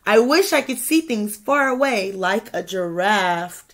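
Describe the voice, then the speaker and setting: A woman's voice: mid-range voice, expressive, a little slow, natural voice. A woman voicing a small, discontented chameleon in a picture book, wishing wistfully to be like other animals.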